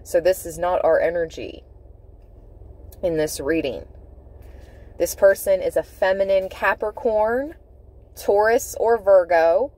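A woman giving an intuitive tarot reading, speaking in deliberate, halting phrases with emphatic repetition. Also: medium pitch, warm tone. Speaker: tarot reader. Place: inside a parked car.

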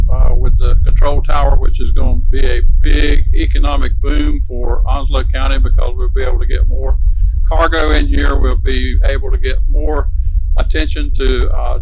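An older man speaks as a county official at an outdoor ceremony. His delivery is halting, with pauses as he searches for words. His pitch is medium, and there is some noise behind the podium microphone. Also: dull audio. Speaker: county board official giving a speech. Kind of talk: dedication ceremony speech. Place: outdoor podium by a brick building. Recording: noisy outdoor podium recording.

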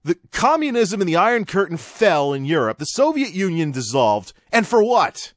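American male commentary host, highly animated pitch, wry and exasperated, dry close sound. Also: moderate pace, dry recording. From United States. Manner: wry, emphatic, exasperated asides. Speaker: host of an online radio-style commentary show. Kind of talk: opinionated news commentary, reading and ranting.

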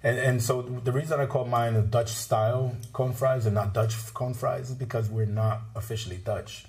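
A middle-aged man from the Bronx, telling stories as a guest in a TV studio interview, relaxed and laughing often. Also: mid-range voice, moderate pace. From the Bronx, New York. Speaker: restaurant owner, guest. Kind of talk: casual interview chat.

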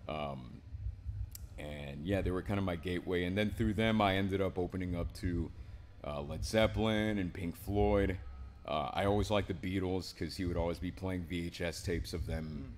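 A man in his twenties with a deep voice, telling his story in a relaxed, rambling way, often tossing in "man" and "right?". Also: moderate pace. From Miami, Florida. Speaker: poet, interview guest. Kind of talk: casual interview. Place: small indoor studio with a painted mural wall.

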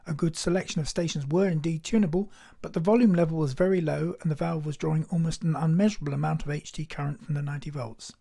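A man presents scripted technical narration in a measured, instructional manner. His voice sits a little high for a man and has a very soft, mellow tone. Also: fairly even intonation, creaky. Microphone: voice-over.

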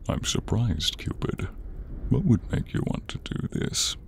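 Gruff horned demon, played by a man, deep and slow, terse but sultry, with noticeable ambient noise behind. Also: big pitch swings, full character voice. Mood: gruff, wary. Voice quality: gravelly. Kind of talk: ASMR roleplay acting.

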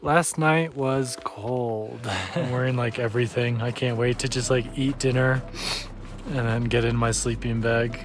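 A young man talking casually to a handheld camera as a hiking vlogger, low-key and plainspoken. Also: steady tone, a little slow, noisy background. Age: young adult. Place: outdoors in mountains and inside tents. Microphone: handheld camera at arm's length. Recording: music behind the voice.

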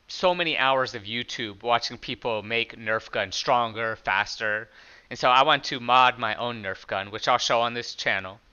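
A man with a loud speaking voice, talking casually through his own programming project in a screen-recorded tutorial. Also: medium pitch, clear, resonant tone, dull audio. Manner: plain, conversational explaining with small restarts.

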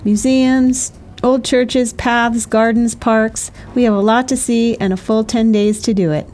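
A woman's voice: a little high, steady tone, a little slow, a little mellow, faint music behind. A middle-aged woman giving cheerful, chatty scripted voice-over narration for a sailing travel vlog.

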